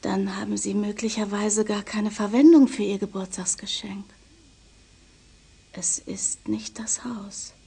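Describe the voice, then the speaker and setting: An actress playing a seductive, mysterious, self-assured woman in a crime radio play, with breathy, teasing, coaxing delivery. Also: medium pitch, fairly even intonation, a little slow, warm tone. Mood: soft, insinuating. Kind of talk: 1990s German crime radio play acting.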